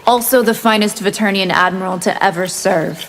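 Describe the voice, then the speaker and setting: A woman's voice: mid-range voice, fairly quick, very noisy, close to an everyday voice. A woman voicing a cold, scheming winged vampire warrior who taunts her foes with disdain in a fighting video game. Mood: scornful. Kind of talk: video game character dialogue. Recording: long reverb, loud music behind.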